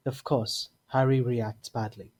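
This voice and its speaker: A man's voice: mid-range voice, flat delivery, measured pace, darkish tone. A man reading scripted narration for an audio drama.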